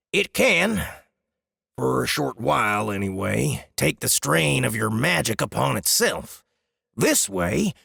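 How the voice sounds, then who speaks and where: A man playing a boastful, hearty dwarf smith in a fantasy audiobook, with a booming, gravelly voice and a smug manner. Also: a bit bright, no room sound, studio quality, exaggerated voice. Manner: smug, bragging. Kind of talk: dramatized fantasy audiobook acting.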